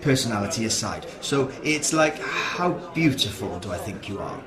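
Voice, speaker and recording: An actor as a bewildered young man whose mid-pitched voice rises and falls animatedly amid busy crowd chatter. He is awkward, hesitant and exasperated, stammering through a comic radio play. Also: very animated, normal speed, lightly acted. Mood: puzzled. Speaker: actor in a short comedy play. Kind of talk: comic two-hander radio drama dialogue.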